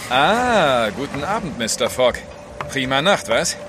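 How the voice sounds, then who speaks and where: An actor playing a nosy, suspicious sailor with a casual, probing way of talking in an audio drama. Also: male voice, medium pitch, big pitch swings, unhurried, background noise. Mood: falsely friendly.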